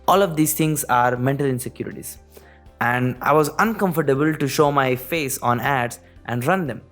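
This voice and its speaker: A man's voice: medium pitch, moderate pace, fairly bright tone, soft background music. A young man from India with an Indian accent, talking earnestly about his business, dropping lots of "you know" and "actually". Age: young adult. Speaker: business owner. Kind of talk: direct-to-camera business talk.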